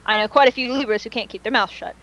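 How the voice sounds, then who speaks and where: High-voiced woman chatting on a podcast. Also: fast, muffled recording, a little hiss or hum. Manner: animated, slangy, swears casually. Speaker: podcast co-host. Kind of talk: casual fan podcast chat.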